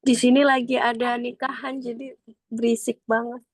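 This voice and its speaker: A woman student answers her teacher over a video call. Her delivery is flat and hesitant, and she restarts words as she goes. The sound is close and dry. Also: fairly high pitch, moderate pace, no echo. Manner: hesitant, restarts mid-word. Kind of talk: answering in online class.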